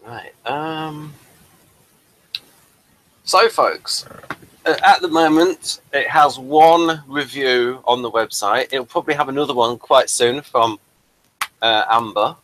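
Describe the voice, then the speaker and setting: A man's voice: medium pitch, slow, long pauses, fairly bright tone, a little hiss or hum, low-quality recording. A man hosting a casual interview, rambling and chatty and addressing viewers as folks. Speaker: host and playwright.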